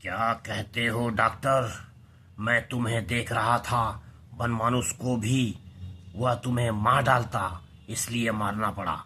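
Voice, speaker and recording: A man playing a calm, protective jungle hero in a dramatized adventure story, grave, measured and resolute. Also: medium pitch, slight background noise. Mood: firm.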